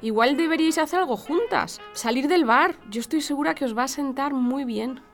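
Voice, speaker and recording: Actriz haciendo de amiga franca, voz aguda. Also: female voice, sits high, brisk, soft background music. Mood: frank, encouraging. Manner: direct, reassuring. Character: supportive, frank female friend giving advice. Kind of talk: radio drama acting.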